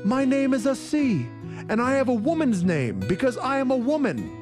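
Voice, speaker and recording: A man's voice: very high-pitched, animated, normal speed, over music, voice pushed up. A man voicing a clever, brave young woman disguised as a warrior, speaking boldly. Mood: bold, triumphant.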